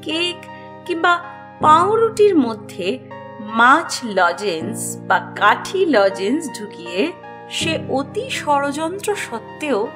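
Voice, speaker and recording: High-pitched, expressive woman storyteller, unhurried. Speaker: host and story narrator. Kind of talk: expressive scripted storytelling narration. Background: music under the voice.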